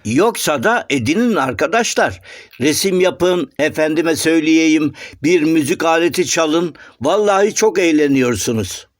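Lilting, high-pitched polite cartoon gentleman. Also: expressive, measured pace, no echo, studio quality. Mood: cheerful. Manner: courteous, coaxing, sing-song explaining. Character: polite, chatty, eager, well-mannered male shadow-puppet gentleman. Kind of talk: children's cartoon voice acting.

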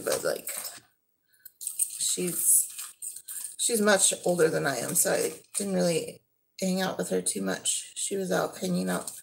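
A woman's voice: fairly low pitch, creaky, a little mellow, slight background noise, rough recording. A woman in her thirties chatting casually through a thrift haul at home, describing each item as she handles it. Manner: unhurried, trailing off while handling items. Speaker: vlogger. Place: living room at home.

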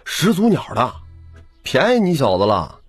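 A man's voice: medium pitch, wildly swooping pitch, normal speed, slightly rough, dry recording, faint music behind, voice pushed up. A man voicing a cheeky young office slacker with brash, wheedling sales patter in an audiobook. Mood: brash, pushy.

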